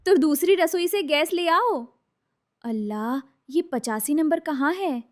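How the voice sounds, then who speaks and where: Casting: overwhelmed young new bride played by a woman, very high voice, unhurried, sassy, cartoon family story. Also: animated. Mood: flustered. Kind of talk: Hindi cartoon story voice acting.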